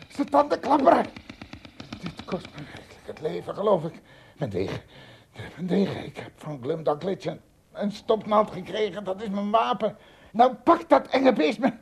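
A tiny, polite but excitable adventurer in a radio drama. His husky, creaky voice swings widely in pitch and tends to trip over repeated words. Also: exaggerated voice. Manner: theatrical, stammers and repeats words when frightened. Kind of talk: radio play acting.

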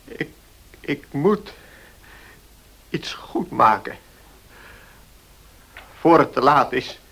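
A sick, stubborn notary in a radio drama. He forces his words out very slowly and haltingly, with a husky, strained voice and desperate resolve. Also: mid-range voice, lively intonation, pushed and bright, full character voice. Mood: strained, insistent. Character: ailing, stubborn notary, desperate to make amends. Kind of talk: radio play acting.

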